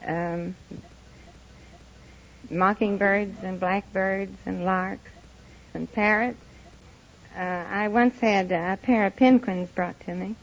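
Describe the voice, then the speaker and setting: A woman's voice: mid-range voice, fairly even intonation, takes it slowly, long pauses. A woman chatting as a guest contestant on an old radio quiz show, in a matter-of-fact way. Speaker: quiz contestant, bird doctor. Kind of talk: unscripted quiz show interview. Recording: muffled, hissy old radio recording.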